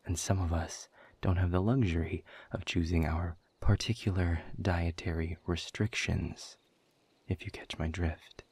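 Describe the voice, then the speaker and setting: A world-weary demon in charge of a haven, acted out by a man in an audio roleplay. He speaks slowly and very low, drawling sarcasm in polished, wordy sentences. The voice is heavily husky and breathy. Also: steady tone, darkish tone, dry recording, full character voice. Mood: wry, mocking. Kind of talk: scripted audio roleplay monologue addressed to the listener.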